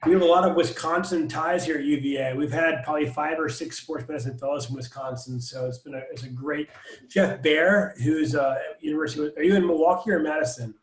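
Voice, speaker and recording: A man hosting a video-call welcome, upbeat and jokey, with friendly banter. His voice sits a bit high, and the room around him rings with echo. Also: fairly quick. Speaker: residency program director.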